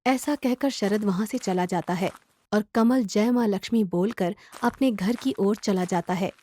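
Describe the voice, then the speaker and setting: A woman's voice: medium pitch, unhurried, dark tone. A woman storyteller reading a scripted moral folk tale. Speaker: story narrator.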